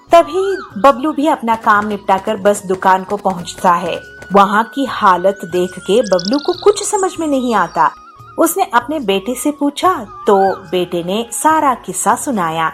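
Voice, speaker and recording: Animated woman narrating a children's story. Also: mid-range voice, measured pace, faint music behind.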